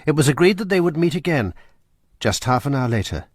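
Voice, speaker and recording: A man narrating a radio drama in a brisk storytelling manner with a husky voice. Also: on the high side, big pitch swings, dry recording, clean studio sound.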